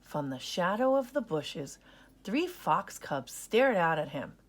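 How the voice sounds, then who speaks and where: A woman narrating a children's story in an expressive read-aloud style. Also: lowish voice, takes it slowly.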